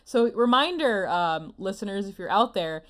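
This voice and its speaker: A woman's voice: mid-range voice, animated, normal speed. A woman co-hosting a podcast in casual, joking chat with a wry, playful manner. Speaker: podcast co-host.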